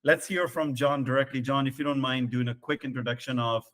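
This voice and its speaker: A man's voice: mid-range voice, fairly even intonation, a little fast, a bit bright. Upbeat male podcast host. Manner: upbeat, enthusiastic. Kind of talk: podcast interview.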